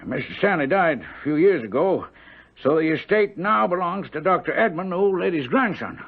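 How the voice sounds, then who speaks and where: An actor playing a kindly, gossipy country servant with a raspy voice, in old-time radio drama style. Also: male voice, fairly high pitch, expressive, moderate pace. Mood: gossipy, wistful. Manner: folksy, rambling storytelling. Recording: tinny, narrow-band radio broadcast.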